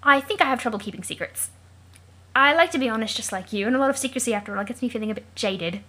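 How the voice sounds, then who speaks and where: A woman's voice: on the high side, lively intonation, fast, pushed and bright. A woman voicing a plucky, chummy young male adventurer with a put-on British accent and theatrical, old-fashioned wording. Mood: jaunty, confiding.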